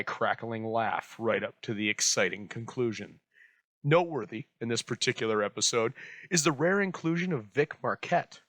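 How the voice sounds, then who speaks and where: Male host narrator: bright, forward tone, mid pitch, literary measured script, dry close sound. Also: no room sound. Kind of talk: scripted narration introducing vintage radio.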